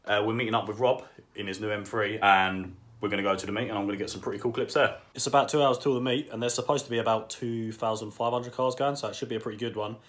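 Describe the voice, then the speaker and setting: A man's voice: lowish voice, fast, few pauses. Young man with a head cold, chatty. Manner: chatty, laughs often, gets excited. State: bunged up with a cold. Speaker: vlogger. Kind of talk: casual car vlog chat. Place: outdoors at a car meet. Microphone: phone camera. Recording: faint noise, phone audio.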